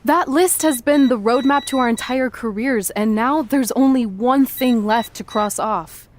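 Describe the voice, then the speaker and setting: A woman's voice: fairly high pitch, a little slow, a little hiss or hum, natural voice. A woman playing a driven, no-nonsense young female wrestler in a video game cutscene, speaking earnestly and insistently. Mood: exasperated, dry.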